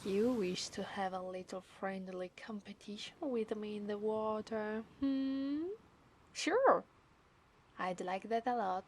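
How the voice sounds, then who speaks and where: A woman with an Italian accent voicing a coy, possessive mermaid in a soft, breathy ASMR roleplay. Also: mid-range voice, takes it slowly. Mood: playful, coy. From Italy. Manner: coy, teasing, breathy murmurs. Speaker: ASMR voice actress.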